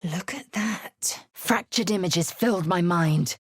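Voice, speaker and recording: Fierce haughty alien warrior lady from a video game, low n husky, clipped formal talk. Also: slow, no room sound, studio quality. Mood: grave, recounting. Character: proud, fierce alien warrior woman, stern and imperious. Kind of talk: video game character voice acting.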